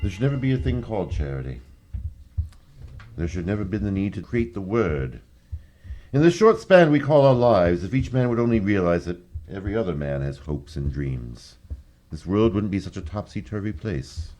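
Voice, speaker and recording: An actor playing a genial, idealistic gentleman hero in an old-time radio drama, courtly and theatrical. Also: deep voice, very animated, normal speed. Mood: earnest, reflective. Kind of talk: 1940s radio acting.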